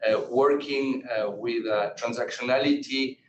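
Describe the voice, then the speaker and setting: A man answering as an executive on an earnings call, haltingly, repeating and restarting his words often. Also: mid-range voice, fairly even intonation, highs cut off. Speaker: company executive answering analysts. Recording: roomy echo, background noise, conference call.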